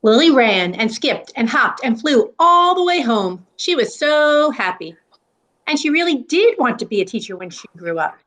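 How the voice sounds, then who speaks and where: Higher-voiced woman, earnest educator. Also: big pitch swings, moderate pace, fairly bright tone. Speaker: school educator. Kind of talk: read-aloud of a children's book and casual discussion.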